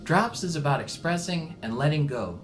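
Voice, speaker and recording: A man giving a reflective, measured scripted narration, as a musician talking about his own work. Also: fairly high pitch, expressive. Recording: ambient music behind the voice. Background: ambient background music.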